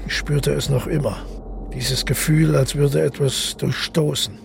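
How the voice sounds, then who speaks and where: A man playing a detached killer who calmly recounts his deed in a measured, reflective radio drama monologue, with a gravelly voice. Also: a little high, noisy background. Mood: intense, detached. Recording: music playing under the voice.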